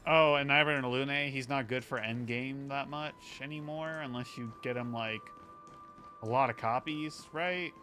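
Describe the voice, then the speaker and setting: A man's voice: mid-range voice, fairly even intonation, a little slow, sharp tone, soft background music. A man streaming a game, chatting casually and informally with some swearing. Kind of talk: casual chat over gameplay.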